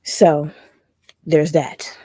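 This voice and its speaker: Rambling woman with a low voice, dry sound. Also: level tone, extremely slow, dark tone, slightly rough, no echo. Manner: rambling, restarts often. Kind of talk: casual chat.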